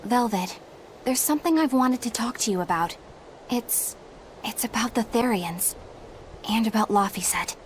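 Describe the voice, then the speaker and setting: A woman's voice: a little high, moderate pace, a little hiss or hum, lightly acted, rough recording. An actress playing an earnest, dutiful young woman exorcist in a video game, speaking sincerely. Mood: hesitant concern. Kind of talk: dramatic video game voice acting.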